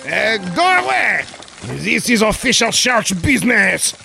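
A scornful Frenchman in a comic old-time radio play. His French accent is thick with drawn-out, sneering insults, and the voice is high for a man and gravelly, swinging widely in pitch. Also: very animated, sharp tone, soft background music, heavily acted. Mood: scornful, suspicious. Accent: put-on French. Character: haughty, insult-slinging nineteenth-century Frenchman guarding a caravan. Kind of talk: 1940s-style comedic radio play acting.

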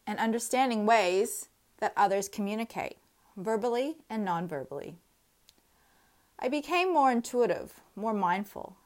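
Earnest woman in her thirties reading. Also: medium pitch. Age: 30s. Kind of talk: reading a personal essay aloud.

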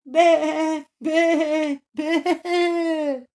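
A woman voicing a small young ram with bleating, drawn-out cries. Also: sits high, flat delivery, a little mellow, voice pushed up, full character voice.

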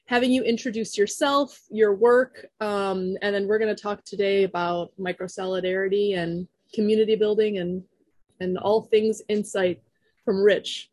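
A woman hosting a podcast, asking short, relaxed questions in an easy conversational way. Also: mid-range voice, normal speed, no room sound. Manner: relaxed, brief prompting questions. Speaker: podcast host. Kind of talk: casual interview.

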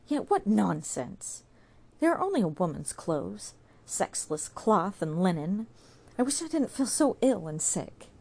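A woman's voice: mid-range voice, gravelly, a little mellow, pitched above the performer's own voice, exaggerated voice. A woman voicing a young woman who is cold, frail and anxious, speaking in a pleading, shivering way in a storybook reading.

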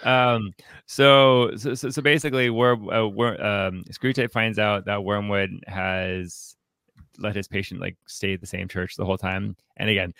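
A man hosting a podcast, discussing in a casual way, haltingly, often repeating words and saying "like". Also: lowish voice, little pitch movement, a bit bright, clean tone, dry recording. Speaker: podcast host.

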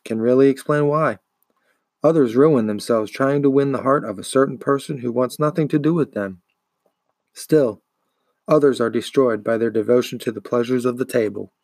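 Creaky-voiced man narrating a storybook. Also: mid-range voice, a little mellow, no room sound. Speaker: podcast host, audiobook reader.

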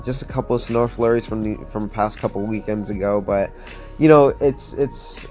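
A man's voice: medium pitch, a little mellow. A man in his twenties from Florida, talking as a podcast host in a casual, motivational monologue, earnest and upbeat, with many fillers like "like" and "um". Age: 20s.

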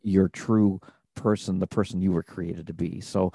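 A man's voice: sits low, fairly even intonation, moderate pace, mellow, slightly rough, no room sound, clean studio sound. A man hosting an interview podcast, talking in an earnest, reflective way about purpose and connection. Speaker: podcast host. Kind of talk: conversational interview.

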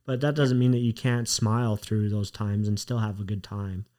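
A man hosting a podcast, talking in a relaxed, encouraging way. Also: lowish voice, normal speed. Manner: relaxed, encouraging, short affirmations. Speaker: podcast host. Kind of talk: casual interview chat.

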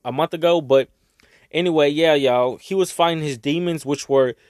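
A young man talking casually and emotionally to his viewers, rambling and swearing, his voice raspy from crying. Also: a little high, normal speed, darkish tone, dry recording, clean studio sound. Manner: rambling, swearing, heartfelt, Southern US slang. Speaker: vlogger. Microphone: own microphone.

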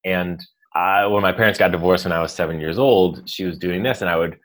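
A man's voice: low-pitched, a little fast, fairly bright tone. An American man in his thirties, a leadership coach telling his life story in a casual interview. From United States. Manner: chatty, storytelling, self-deprecating with laughs.

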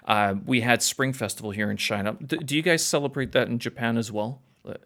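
Male podcast host, mid-pitched, relaxed and hesitant delivery. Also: a little fast. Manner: hesitant, many uh fillers, relaxed. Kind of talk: casual conversation.

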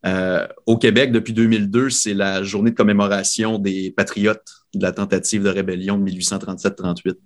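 Steady male panelist, lowish voice, explains patiently. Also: a little fast. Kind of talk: radio panel discussion.

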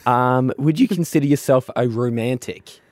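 A man co-hosting a radio show in a studio, his voice hoarse from a flu, playful and teasing with frequent laughs. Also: mid-range voice, normal speed, a little mellow, no echo. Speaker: radio co-host. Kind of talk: casual radio banter.

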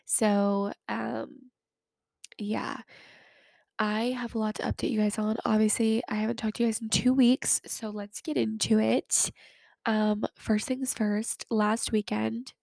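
Nasal young woman podcaster, gravelly and flat. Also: medium pitch, measured pace, no room sound. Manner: chatty, fillers like "like" and "um". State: sick, recovering from a cold. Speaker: podcast host. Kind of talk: casual chat.

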